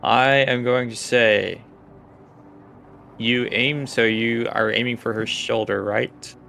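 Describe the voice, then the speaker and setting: A man's voice: medium pitch, steady tone, slow pace, creaky, pushed and bright, faint music behind. A man running a tabletop game, improvising narration and rulings in a hesitant, think-aloud way. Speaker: game master.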